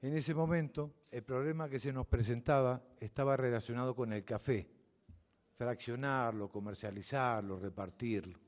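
A man's voice: medium pitch, moderate pace. Older Southern Cone man in echoing hall. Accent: Southern Cone Spanish. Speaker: speaker, mathematics teacher. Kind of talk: public speech to an audience. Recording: dull audio from a reverberant hall.

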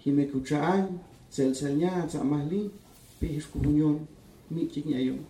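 Man with a dark voice, reading from far off. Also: a little high, measured pace. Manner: calm, steady reading voice. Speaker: writer reading his own text. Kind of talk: literary reading at a public event. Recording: echoey room, distant mic.

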